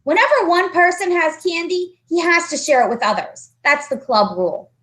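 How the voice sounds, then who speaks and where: A woman's voice: extremely high pitch, lively intonation, normal speed, fairly bright tone, voice pushed up. A woman voicing a pushy, greedy schoolboy who makes demands, in a storybook reading. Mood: bossy.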